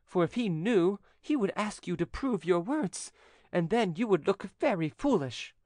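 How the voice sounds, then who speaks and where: A male narrator puts on a proud, haughty widow from a storybook. The voice sits high and moves in lively swoops, emphatic and theatrical. She sounds self-satisfied and ready to scold, in a very dry recording. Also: measured pace, no room sound.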